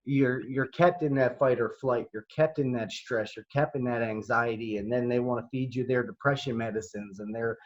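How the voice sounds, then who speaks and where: A man's voice: mid-range voice, fairly even intonation, normal speed, hardly any pauses. A man in his thirties talking earnestly in a casual spiritual conversation, repeating phrases for emphasis. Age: 30s. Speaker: podcast host. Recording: muffled lo-fi sound.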